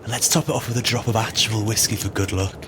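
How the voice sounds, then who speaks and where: Actor as cold, scheming swindler, noisy background. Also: male voice, lowish voice, fairly even intonation, fast, close to an everyday voice. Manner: wry, scheming, colourful phrasing. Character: cold, calculating male swindler plotting murder. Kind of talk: audio play acting.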